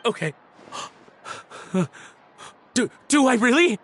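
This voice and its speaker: A man's voice: very high-pitched, big pitch swings, slow pace, a bit bright, a little hiss or hum, exaggerated voice. A man playing a cowed, eager-to-please smuggling suspect in a video game, stammering and deferential. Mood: anxious, submissive.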